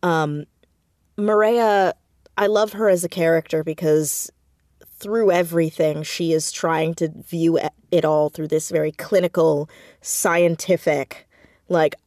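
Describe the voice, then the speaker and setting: A woman being interviewed as an audio drama creator, talking conversationally in an expressive, effusive way. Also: low voice, measured pace, no room sound, studio quality. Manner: expressive, effusive, acts out quoted dialogue. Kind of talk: animated conversational interview, storytelling with acted quotes.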